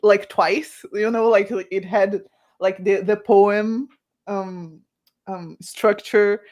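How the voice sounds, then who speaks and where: A woman's voice: mid-range voice, slow pace. Chatty woman, native Portuguese speaker. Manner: animated, many fillers and restarts. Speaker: guest author. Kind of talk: casual livestream chat.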